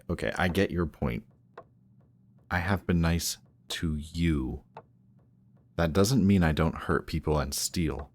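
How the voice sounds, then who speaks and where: A man playing a grumpy, guarded villain who is secretly softhearted, speaking haltingly and defensively in an intimate one-sided roleplay audio. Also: rumbling low, slight background noise. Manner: halting, defensive, reluctantly tender. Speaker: voice actor.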